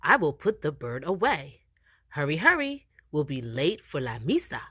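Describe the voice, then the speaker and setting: A woman's voice: low-pitched, big pitch swings, moderate pace, sharp tone, husky, dry recording, studio quality, exaggerated voice. A woman voicing a kindly but bossy, matter-of-fact old grandmother in a children's storybook. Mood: brisk. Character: brisk, loving old grandmother in charge.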